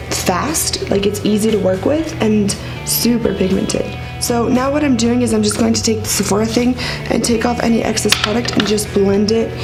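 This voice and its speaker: A woman who is sick and has a raspy voice, chatting casually through a makeup tutorial with lots of fillers and rambling asides. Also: medium pitch, poor audio. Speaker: beauty vlogger.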